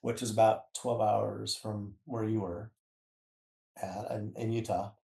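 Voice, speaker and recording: A man in his fifties casually recalls his own past as a podcast guest, in a halting, self-confirming way. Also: fairly low pitch, level tone, gravelly, a little mellow. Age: 50s. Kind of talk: casual conversational recollection. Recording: echoey room.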